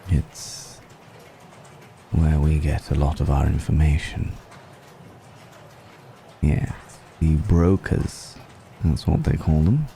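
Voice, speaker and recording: A man voicing a suave, menacing vampire noble in an intimate ASMR roleplay, speaking in a genteel, teasing way with drawn-out pauses. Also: rumbling low, warm tone, a little hiss or hum, full character voice. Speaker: voice actor.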